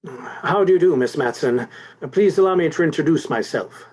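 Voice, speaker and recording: A man acts out an anxious department store executive for a radio mystery. His voice is fairly high for a man, and he speaks in stiff, formal phrases broken by fussy hesitations. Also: animated, moderate pace, darkish tone, slightly rough. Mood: formal, uneasy. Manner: formal, hesitant, stammering politely. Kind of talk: 1950s radio detective play acting.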